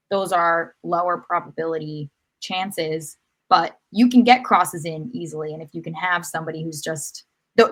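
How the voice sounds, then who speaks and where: A woman with a slightly low-pitched voice talks through sports analysis in a casual podcast style. She explains in a conversational way, revising her wording as she thinks aloud. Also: a bit bright. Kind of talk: casual soccer match analysis.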